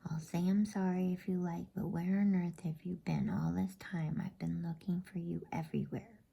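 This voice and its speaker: A woman reading a storybook voices a sulky, know-it-all schoolboy in a breathy whisper. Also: lowish voice, little pitch movement, normal speed, mellow, heavily acted. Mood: grudging, snappish. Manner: snappish, superior.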